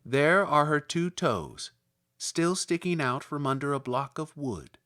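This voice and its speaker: A man voicing a kindly tiny old woman who speaks calmly and sweetly, as an audiobook character. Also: on the high side, unhurried. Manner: calm, sweet and gently matter-of-fact.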